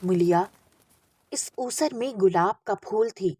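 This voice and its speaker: A woman's voice: mid-range voice, takes it slowly, mellow, breathy edge. A woman reading a literary story aloud as an audiobook narrator, with expression.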